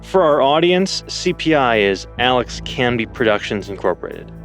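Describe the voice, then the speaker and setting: A man's voice: mid-range voice, big pitch swings, moderate pace, soft background music. A man playing a businesslike radio producer in an audio drama, reporting in a formal, explanatory manner. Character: resourceful male radio producer, crisp and businesslike.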